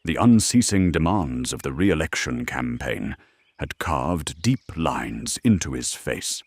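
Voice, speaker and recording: A man reading scripted, dramatic narration for a historical audio drama in a very deep, gravelly, husky voice. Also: a little mellow, no room sound.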